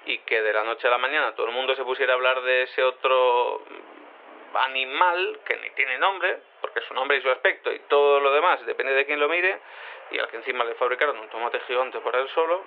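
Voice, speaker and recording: A man's voice: medium pitch, fairly quick, narrow, tinny audio, lightly acted. A man playing a naive, rambling quantum cat in human form, interviewed in an audio drama, speaking casually and hesitantly. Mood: flustered, defensive.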